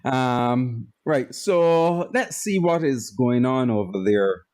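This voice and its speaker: A man's voice: medium pitch, animated, slow pace, darkish tone. A man from Barbados with a Barbadian accent, co-hosting a casual livestream chat in an easygoing way and laughing often. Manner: easygoing, laughs often, reads out viewer comments. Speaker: co-host of a skeptic livestream.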